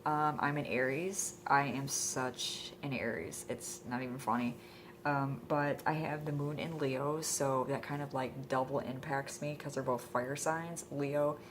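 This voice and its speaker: Late-20s woman, stuffed up with a cold, low voice, lots of um, bit of faint hiss behind. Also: fairly even intonation, measured pace. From Michigan. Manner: rambling, hesitant, full of ums. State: congested from a cold. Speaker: vlogger. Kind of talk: casual introduction vlog. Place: indoors at home.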